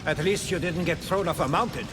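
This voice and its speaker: A man playing a gruff, aggrieved smith god in a video game. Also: fairly high pitch, brisk, noisy background, close to an everyday voice. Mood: urging, bitter. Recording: loud music behind the voice.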